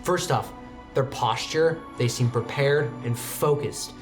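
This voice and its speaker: A man running a tabletop game, his narration measured and descriptive, often sinking low and quiet for the small details. Soft music plays faintly behind him. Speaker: game master.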